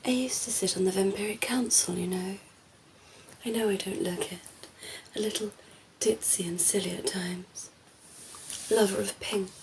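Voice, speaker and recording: A woman voicing a ditzy, flirty female vampire in an ASMR roleplay, speaking softly and breathily, often in a whisper, with a coy, teasing manner. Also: mid-range voice, fairly even intonation, a little hiss or hum, natural voice, rough recording. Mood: chatty, whimsical. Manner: slow, teasing, coy, giggly.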